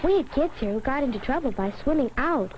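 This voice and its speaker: A woman's voice: high voice, lively intonation, quick pace, a little mellow, a little hiss or hum, low-quality recording. A woman playing a sensible schoolgirl who narrates a summer safety story in an educational film. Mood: earnest, sensible. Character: sensible schoolgirl recounting a summer lake trip.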